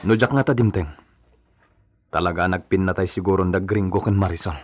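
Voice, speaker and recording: A man's voice: low voice, brisk, no echo, faint music behind. A man with an Ilocano accent playing a blunt, commanding son in a family radio drama. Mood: grave reporting.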